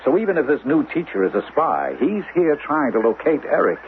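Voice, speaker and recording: An American actor playing a protective, persuasive doctor husband in a 1970s radio drama, measured but sometimes haltingly hesitant. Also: male voice, a little high, big pitch swings. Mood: reasoning, resolute. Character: reasoning, protective doctor husband hiding a dangerous secret. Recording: lo-fi radio broadcast with hiss.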